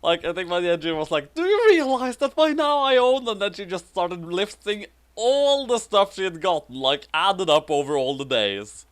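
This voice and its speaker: A woman's voice: on the low side, sing-song, moderate pace, hardly any pauses. A woman co-hosting a comic fan fiction reading, switching between casual chat and theatrical, exaggerated delivery.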